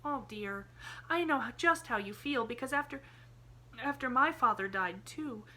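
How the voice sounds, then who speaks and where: A woman's voice: a little high, expressive, normal speed, sharp tone, voice pushed up. A woman reading an audiobook, voicing an eager, endlessly cheerful young girl who chatters breathlessly in quaint old-fashioned phrasing. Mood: sympathetic. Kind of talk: audiobook character voice.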